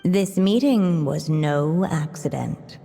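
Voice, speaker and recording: A woman playing a solemn, gentle fairy-tale narrator in a video game, reading in storybook style. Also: deep voice, lively intonation, slow pace, heavily acted. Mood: solemn storytelling. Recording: long reverb, music underneath.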